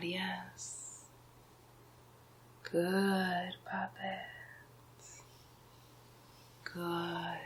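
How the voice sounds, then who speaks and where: Female performer for male sorcerer-vizier villain, ASMR roleplay: breathy half-whisper, very drawn-out delivery, gloating, hypnotic, domineering. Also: on the low side, exaggerated voice. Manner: slow, deliberate, gloating, hypnotic.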